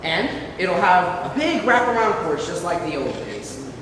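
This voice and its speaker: A man playing an earnest, anxious dreamer in an amateur stage play, with a theatrical, excitable delivery. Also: high-pitched, a little slow. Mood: wistful, impatient. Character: earnest, hopeful, anxious dreamer longing for a house. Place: indoor hall, recorded from far off. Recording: very echoey hall, distant mic, faint noise.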